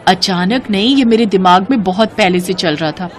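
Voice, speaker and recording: A woman's voice: medium pitch, moderate pace, some chatter behind, natural voice. Woman voicing a haughty rich young student. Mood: boastful, scornful. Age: young adult. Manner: disdainful, exclaiming, drops in English words.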